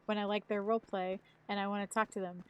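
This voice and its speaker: A woman's voice: mid-range voice, level tone, quick pace, clean tone. A woman in her thirties, a live streamer chatting casually, rambling and swearing freely. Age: 30s. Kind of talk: casual chat.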